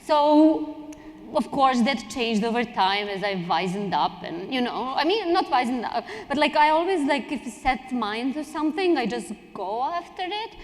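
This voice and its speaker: Female panelist from Serbia, raised pitch, emphatic and halting casual talk, reverberant conference hall. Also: big pitch swings. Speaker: panelist, strategy director. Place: indoor conference hall on stage. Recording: echoey hall, long reverb.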